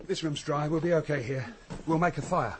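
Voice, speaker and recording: An actor playing a brisk, commanding young man on the run in a radio drama. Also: fairly high pitch, slight background noise, lightly acted. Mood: urgent, eager.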